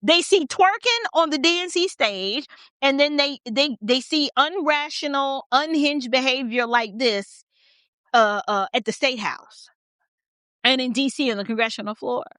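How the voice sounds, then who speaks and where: High-pitched, blunt American woman commentator. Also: unhurried, fairly bright tone, no echo, clean studio sound. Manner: blunt, emphatic, repeating phrases for stress. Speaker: political commentator and host. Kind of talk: opinionated off-the-cuff commentary.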